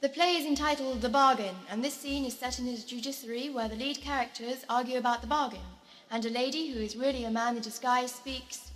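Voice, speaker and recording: A woman's voice: sits high, fairly even intonation, brisk, natural voice. A woman playing a cynical, defiant space raider in an audio drama, curt and prickly. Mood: formal, nervous. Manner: curt, prickly, self-possessed. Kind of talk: amateur audio drama acting.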